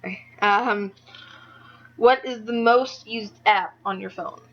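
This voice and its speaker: A woman's voice: mid-range voice, slow pace. A young woman doing a casual amateur interview, speaking in a hesitant, giggly way. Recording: dull lo-fi audio.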